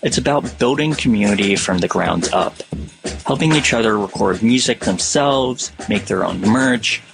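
A man reading scripted documentary narration in a steady, explanatory way. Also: fairly low pitch, normal speed, gravelly, low-quality recording. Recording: music underneath. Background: music.

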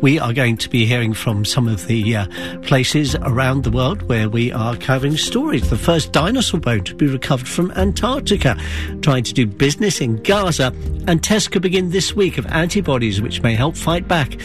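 A man's voice: mid-range voice, expressive, moderate pace, music in the background. A man, presenting a radio news programme and interviewing.

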